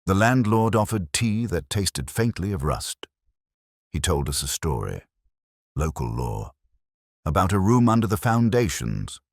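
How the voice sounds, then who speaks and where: A man narrating a scripted first-person detective story in a measured, literary, brooding way. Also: extremely low pitch, breathy edge, no room sound, clean studio sound.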